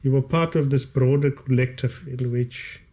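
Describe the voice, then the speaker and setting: A man's voice: mid-range voice, steady tone, a little mellow. Thoughtful South African man in his fifties. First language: Kaaps. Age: 50s. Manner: thoughtful, emphatic, drawn-out key words. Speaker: guest author. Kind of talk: reflective podcast conversation. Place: podcast studio. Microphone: studio condenser mic with headphones.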